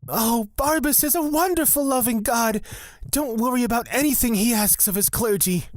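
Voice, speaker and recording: A woman's voice: fairly high pitch, normal speed, dry recording, studio quality, natural voice. A woman playing a sweet, serenely confident young male cleric in a fantasy audio drama, earnest and sunny.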